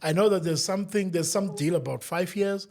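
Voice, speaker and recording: A man co-hosting a casual podcast, chatty and quick with interjections. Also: high-pitched, darkish tone, a little hiss or hum. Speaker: podcast co-host.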